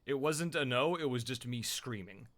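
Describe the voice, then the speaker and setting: A man who is a voice actor and streamer, chatting casually and loudly on his live stream with lots of fillers. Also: mid-range voice, animated, normal speed, a little hiss or hum. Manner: loud, chatty, rambling with fillers.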